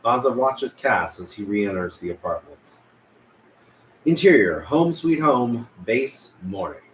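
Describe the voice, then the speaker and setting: Lively male narrator in an echoey room. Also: mid-range voice, slow pace. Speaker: narrator reading stage directions. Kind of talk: scripted narration, table read. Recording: echoey room, faint noise.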